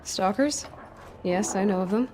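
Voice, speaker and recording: A woman's voice: medium pitch, measured pace, noisy background, lightly acted. A woman acting a resolute young huntress heroine in a video game, dry and direct. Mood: wry, sincere.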